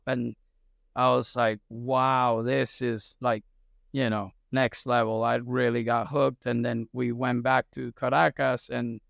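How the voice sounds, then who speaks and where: Gravelly man telling stories. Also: mid-range voice, no echo, studio quality. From Venezuela. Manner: relaxed storytelling with many fillers. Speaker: surf coach, guest. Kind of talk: casual interview talk.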